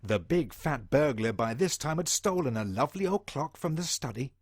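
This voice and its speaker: A man's voice: medium pitch, expressive, normal speed, no room sound, clean studio sound. A British man reading a children's storybook in a theatrical way, as if speaking directly to young listeners.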